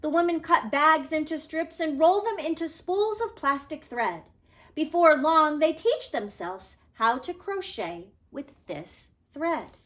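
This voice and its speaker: Woman, teacher, slightly high pitch, lively melodic range, slow careful phrasing, children's read-aloud. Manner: careful, dramatic phrasing with emphasis. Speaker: teacher reading aloud to pupils.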